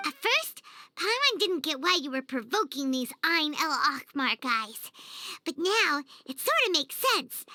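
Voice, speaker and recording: A woman voicing a tiny, childlike fairy companion in a video game, squeaky, chirpy and naive. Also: sing-song, unhurried, sharp tone, no room sound, clean studio sound, heavily acted. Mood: chirpy, eager. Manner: childlike, refers to self in third person. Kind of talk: cartoonish video game acting. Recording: music behind the voice.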